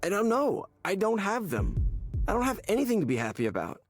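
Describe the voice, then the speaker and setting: An actor playing a despairing, hollow man in a visual novel game, speaking haltingly and repeating words as he searches for them. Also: sits high, wildly swooping pitch, faint music behind, exaggerated voice. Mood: desperate, pleading. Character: despairing, hollow man confiding in a counseling session.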